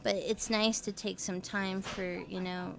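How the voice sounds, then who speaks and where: A woman's voice: mid-range voice, flat delivery, measured pace, gravelly. Woman musician guest; chatty, loose, lots of "um" and "like". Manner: chatty, many fillers and restarts. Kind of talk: casual interview chat. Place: radio show studio.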